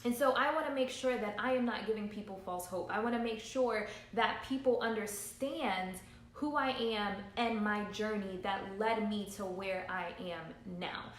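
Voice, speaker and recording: A woman's voice: medium pitch, normal speed. A woman in her thirties giving an earnest, emphatic motivational talk as a business coach, repeating her key points for emphasis. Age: 30s. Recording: roomy echo.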